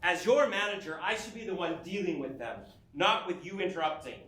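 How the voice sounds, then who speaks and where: A man's voice: high voice, expressive, brisk, sharp tone. A man playing an exasperated, nagging husband and business manager in a theatrical reading, emphatic and complaining. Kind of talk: theatrical staged musical reading. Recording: echoey stage room, faint music.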